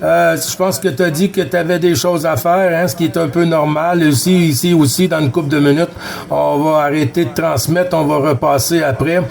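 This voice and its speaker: High-voiced Quebec man on CB radio. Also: steady tone, moderate pace, vocal fry, a little mellow. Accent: Quebec French. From Quebec, Canada. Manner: courteous, repeats words and callsigns. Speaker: amateur CB radio operator running a contact round. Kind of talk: CB radio contact chat, callsigns spelled out.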